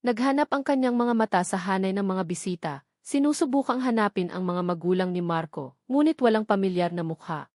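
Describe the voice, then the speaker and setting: A woman's voice: medium pitch, a little fast, darkish tone, no echo, studio quality. A woman with a Filipino accent reading a scripted love story as a dramatic audiobook narrator.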